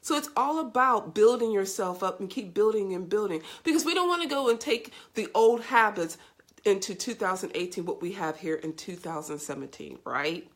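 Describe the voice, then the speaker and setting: A woman's voice: mid-range voice, lively intonation, normal speed. Woman, live-stream host, scratchy congested voice, effusive, chatty, greets viewers. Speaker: host of a live video show. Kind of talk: casual live-stream chat.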